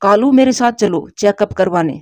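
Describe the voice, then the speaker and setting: A woman's voice: mid-range voice, moderate pace, dark tone, dry recording, natural voice. Woman voicing an earnest male pigeon neighbour. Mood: insistent. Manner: earnest, insistent.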